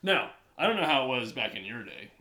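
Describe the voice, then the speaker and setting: A man's voice: mid-range voice, sing-song, brisk, sharp tone. A young man co-hosting a podcast, telling a casual story and laughing often. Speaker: podcast co-host. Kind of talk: casual chat.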